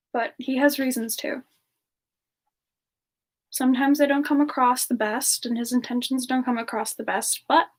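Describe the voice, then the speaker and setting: A woman voicing a gentle, polite female demon in a soft-spoken, hesitant semi-ASMR chat with viewers. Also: high voice, husky. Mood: stern, protective. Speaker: streamer answering viewer questions in character.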